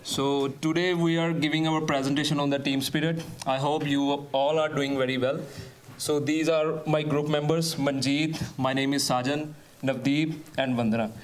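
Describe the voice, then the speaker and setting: An upbeat man opens his group's presentation, speaking straight to the class. His voice sits a little high. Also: fairly even intonation, moderate pace. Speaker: student presenter.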